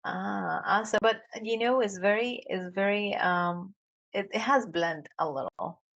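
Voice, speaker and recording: A woman from Colombia with a Colombian Spanish accent, a Spanish native speaker hosting an interview podcast with deliberate, simple phrasing. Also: medium pitch, creaky, fairly bright tone, dull audio. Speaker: podcast host.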